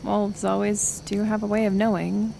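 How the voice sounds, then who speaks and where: A woman playing a kind, stubborn forest healer in an ASMR roleplay, speaking gently and hesitantly, caring but persistent. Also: medium pitch, flat delivery, moderate pace, creaky, slight background noise, rough recording. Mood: reflective. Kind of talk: soft-spoken ASMR roleplay acting.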